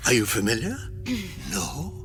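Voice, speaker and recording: Sinister doctor, slow and swooping. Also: male voice, medium pitch, sing-song, vocal fry, music in the background. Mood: menacing. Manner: deliberate, theatrical, coolly menacing. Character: sinister, clinical male interrogator doctor. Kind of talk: video game voice acting.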